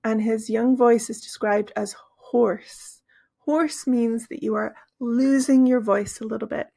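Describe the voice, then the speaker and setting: A woman teacher with a hoarse, gravelly voice walks through story vocabulary at a patient, explanatory pace. Her tone is husky and rough, sitting a little high for a woman. She pauses and restarts often as she explains. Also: steady tone, warm tone. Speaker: English teacher.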